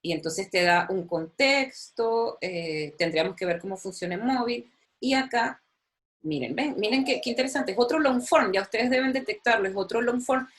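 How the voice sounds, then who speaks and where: A woman's voice: on the high side, moderate pace. A woman teaches a recorded university lecture from her computer. She talks in a chatty, enthusiastic way. She often repeats words and uses many fillers. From Chile. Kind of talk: recorded online lecture with screen sharing.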